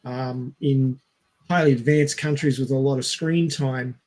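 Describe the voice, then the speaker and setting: A man chatting casually as a podcast co-host on a headset mic, pausing to search for words as he explains. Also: medium pitch, fairly even intonation, a little mellow. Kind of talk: casual film podcast chat.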